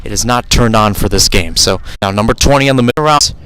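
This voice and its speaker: A man's voice: fairly low pitch, fast, fairly bright tone, clear, resonant tone, rough recording. A man calling a live soccer match as a radio play-by-play commentator, talking in a conversational, improvised way with asides and self-corrections.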